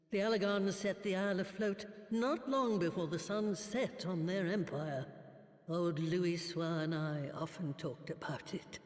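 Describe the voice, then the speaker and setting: A woman's voice: on the low side, a little mellow. A crotchety old woman sage speaks with a British accent and an aged, weathered voice. She is blunt and sardonic, scolding like a game's veteran mentor. Her words echo with long reverb. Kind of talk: dramatic video game acting. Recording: echoey hall with long reverb.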